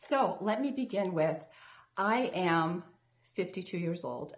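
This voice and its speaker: Earnest woman in her fifties, slightly low voice. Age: 50s. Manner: earnest, chatty, with restarts and repeated phrases. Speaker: health coach, vlog host. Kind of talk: casual talking-to-camera vlog.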